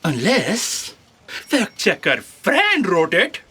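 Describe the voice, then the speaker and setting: Exasperated, animated boss editor. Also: female voice, mid-range voice, very slow, slightly rough, full character voice. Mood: incredulous. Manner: incredulous, exasperated. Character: exasperated female editor-in-chief of a cosmic book. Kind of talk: audio sci-fi comedy acting.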